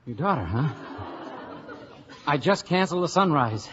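An actor playing a breezy, wry young investigator in an old radio comedy. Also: male voice, on the high side, expressive, normal speed, a bit bright, slight background noise. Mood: casual, teasing. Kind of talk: 1950s radio comedy acting. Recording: muffled vintage radio broadcast.

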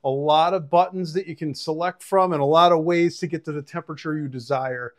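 Higher-voiced man, enthusiastic product reviewer. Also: steady tone, a little fast. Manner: enthusiastic, conversational. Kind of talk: casual product review to camera with demonstration. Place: home kitchen, indoors. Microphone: clip-on lapel mic.

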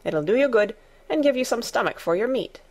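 A woman's voice: fairly high pitch, expressive, a little fast, a little mellow, pitched above the performer's own voice. A woman putting on a chatty Yorkshire maid. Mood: stout. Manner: homely, outspoken, broad dialect. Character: good-tempered chatty young Yorkshire maid.

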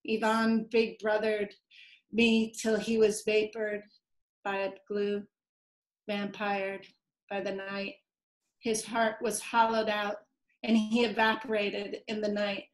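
A woman author on a video call, reading her prose poems in a soft, measured way. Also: mid-range voice, completely flat delivery, rough recording. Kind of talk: slow poetry reading.

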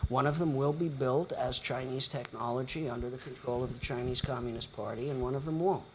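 A man's voice: mid-range voice, a little fast, a little hiss or hum. An older man moderating a panel discussion in a lecture hall, speaking in long, articulate, lecturing sentences.

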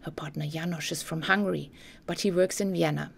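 A woman narrating a scripted documentary story in a measured, carefully enunciated way. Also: sits low, steady tone, vocal fry, breathy edge.

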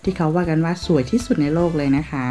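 Low-voiced Thai woman vlogging from a city. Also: steady tone, fast talker. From Thailand. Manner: polite, with frequent soft sentence endings. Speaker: travel vlogger. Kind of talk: chatty travel narration. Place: outdoors in a city, partly on a tram.

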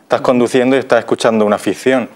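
A man's voice: medium pitch, fairly even intonation, fast, darkish tone. A man in his thirties with an accent from Spain, chatting casually and explanatorily as a guest in a studio interview. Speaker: radio theatre actor, interview guest. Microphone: lapel mic.

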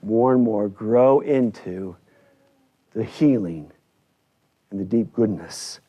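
A male pastor delivering a church sermon in deliberate, emphatic short phrases with repetition. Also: fairly low pitch, animated, mellow. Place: indoors in a church at a lectern. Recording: dull, slightly lo-fi church recording.

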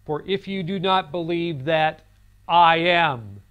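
A man's voice: on the high side, slow, clear, resonant tone, highs cut off. A man in his fifties giving a recorded seminary lecture in a studio classroom set, speaking earnestly and telling a story on a lapel mic. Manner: earnest, storytelling, gestures while talking.

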